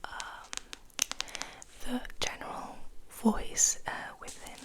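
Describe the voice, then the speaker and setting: A woman speaking in a breathy whisper in ASMR style, halting gently between short phrases. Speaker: host of an ASMR channel. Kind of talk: ASMR, soft whispered rambling.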